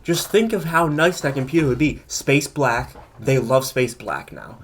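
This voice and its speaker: A man's voice: medium pitch, sing-song, measured pace, husky. A man co-hosting a casual tech podcast, opinionated and rambling as he thinks aloud. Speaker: podcast co-host.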